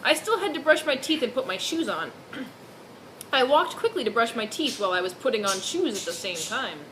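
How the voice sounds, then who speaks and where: A woman's voice: on the high side, sing-song, normal speed, noisy background. A woman doing a mock-serious dramatic reading of fan fiction, dropping in amused asides.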